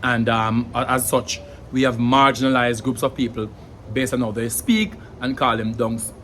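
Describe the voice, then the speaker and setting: A young man with a Jamaican accent explains things casually to camera in a mid-range voice, often pausing on fillers and repeating words as he thinks. A faint hum of background ambience sits under his speech. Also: mid-range voice, flat delivery, a bit bright. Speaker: language vlogger.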